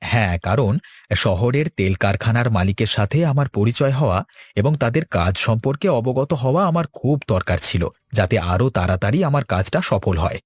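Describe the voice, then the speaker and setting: A man's voice: mid-range voice, expressive, normal speed, husky, no room sound. An actor playing an earnest, talkative village man in a cartoon, exclaiming and explaining. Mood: confident. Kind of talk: Bengali cartoon dialogue.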